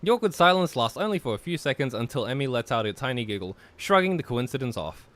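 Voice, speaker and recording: A man's voice: medium pitch, sing-song, quick pace, fairly bright tone. A man hosting a play-along, reading game narration aloud with casual banter and stumbling over some words. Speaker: host of group play-along.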